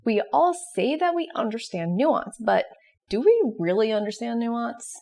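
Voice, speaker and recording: Casting: female educational presenter, higher-pitched with noticeable fry, scripted yet conversational, questioning. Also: measured pace, over music. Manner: expressive, questioning. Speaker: presenter of educational psychology videos. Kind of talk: scripted explanatory narration with casual asides.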